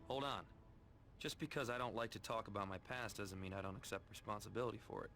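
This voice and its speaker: An actor playing a brooding, remorseful vampire hero in a video game, serious and earnest with a gravelly voice. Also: male voice, on the low side, quick pace. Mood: defensive, brooding. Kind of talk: video game drama acting. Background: loud music.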